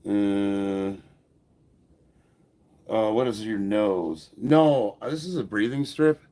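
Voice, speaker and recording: A man's voice: on the low side, slow, warm tone. A man in his fifties with an American accent, hosting a casual livestream and answering viewer comments bluntly with some swearing, stuffed up from a head cold. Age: 50s. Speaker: livestream host and powerlifter.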